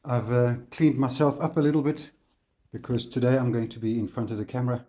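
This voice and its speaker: A man with a South African accent hosting a hobby channel, walking viewers through a kit in a relaxed tutorial style with frequent ums and uhs. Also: mid-range voice. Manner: relaxed, unhurried, frequent fillers. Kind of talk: casual tutorial walkthrough on camera.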